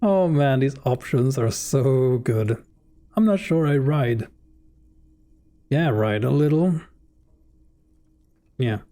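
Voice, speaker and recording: A man's voice: medium pitch, slow pace, pauses often. Laid-back male streamer, Swedish native. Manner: relaxed and joking, with frequent fillers. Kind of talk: casual live gameplay commentary.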